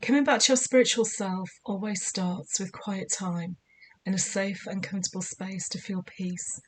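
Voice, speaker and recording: Slightly croaky woman giving calm spiritual guidance. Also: medium pitch, normal speed, slight background noise, poor audio. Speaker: spiritual guide. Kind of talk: scripted spiritual guidance talk.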